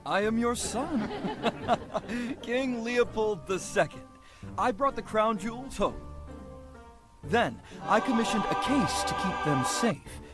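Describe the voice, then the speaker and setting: A woman's voice: fairly high pitch, lively intonation, slow, pauses often, music in the background. A woman voicing a pompous, theatrical puppet king in a marionette show inside a video game. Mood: proud.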